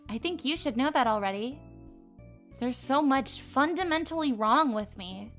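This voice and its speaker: A woman's voice: sits high, a bit bright. A woman voicing a troubled young female stalker from a visual novel, speaking in a bitter, self-deprecating way.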